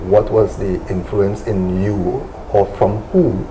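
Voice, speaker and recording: A man's voice: on the low side, steady tone, slow, noisy background. A man hosting a talk show, asking questions with hesitations and fillers. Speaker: talk show host, interviewer. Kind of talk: interview questions.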